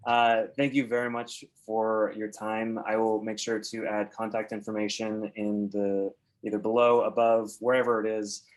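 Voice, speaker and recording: A man who grew up in Oregon, hosting a relaxed, chatty interview as a real estate agent. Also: on the low side, moderate pace, hardly any pauses, low-quality recording. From Oregon, USA. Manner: relaxed, chatty, many filler words and restarts. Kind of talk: casual interview questions.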